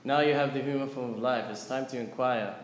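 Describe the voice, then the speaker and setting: A man gives a scripture class, explaining patiently and turning to his listeners with questions. His voice sits at an ordinary middle pitch. It rings through a large, echoey hall with a long reverb tail and faint room ambience behind it. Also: fairly even intonation, brisk, slight background noise. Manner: earnest teaching, asks audience questions. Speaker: lecturer on scripture. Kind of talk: devotional class with chanting.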